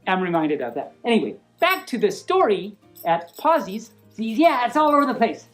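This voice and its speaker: A woman's voice: medium pitch, very animated, normal speed, faint music behind. A woman reading a picture book aloud informally, playful and rambling, with easily sidetracked asides.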